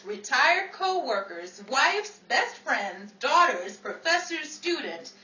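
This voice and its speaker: A woman acting as a sharp-tongued Hollywood working gal in a 1940s radio comedy. Her exasperated, sarcastic lines swoop widely in pitch and are drawn out theatrically. The recording is roomy and distant. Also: very animated, takes it slowly, exaggerated voice. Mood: sarcastic threatening. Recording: roomy, distant, noisy live stage recording.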